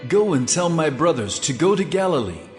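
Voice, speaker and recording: A gentle, commanding holy man risen from death, performed by a male actor in an audio scripture drama. His fairly high voice moves expressively and rings in long reverb over faint music. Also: very animated, normal speed. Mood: gentle, reassuring.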